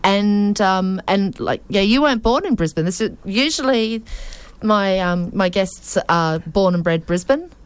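A woman hosting a relaxed interview show. She is chatty and laughs often as she guides her guest. Her voice sits at a middle pitch. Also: a little slow, clear, resonant tone, no echo. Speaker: podcast host. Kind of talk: casual interview chat.

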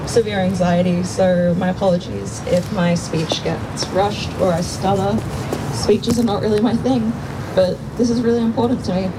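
Aussie woman reading out her own speech, careful and a bit halting, medium pitch, clear rumbly noise behind. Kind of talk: reading a prepared personal speech. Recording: clear steady background rumble.

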